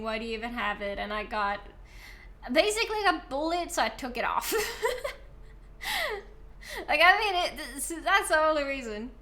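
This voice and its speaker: A woman's voice: fairly high pitch, pushed and bright. A young Norwegian woman with a Norwegian accent streaming a video game, chatting casually and answering chat, a little self-deprecating. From Norway.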